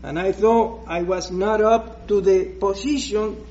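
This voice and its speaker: Slow, hesitant Puerto Rican preacher. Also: male voice, high-pitched, steady tone, mellow, muffled recording, slight background noise. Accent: Puerto Rican Spanish. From Puerto Rico. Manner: slow, hesitant, with many restarts. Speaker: guest preacher. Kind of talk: personal testimony in church.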